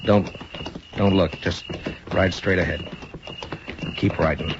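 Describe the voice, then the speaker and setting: An actor playing a steady, commanding western lawman in 1950s radio drama style, giving terse, clipped orders. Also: low voice, slow pace. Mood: watchful, low. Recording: noisy, dull old radio recording.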